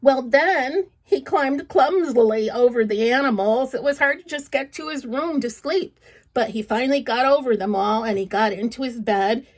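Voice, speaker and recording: A woman reading a story aloud for children in an expressive, playful, dramatic way, putting questions to her listeners. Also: on the high side, moderate pace, rough recording. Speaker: storybook reader.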